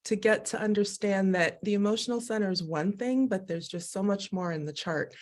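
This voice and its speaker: A woman speaking as a guest on a live video call, telling her own story in an animated, expressive way and often laughing as she talks. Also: medium pitch, brisk. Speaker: guest, Human Design educator. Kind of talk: candid personal conversation.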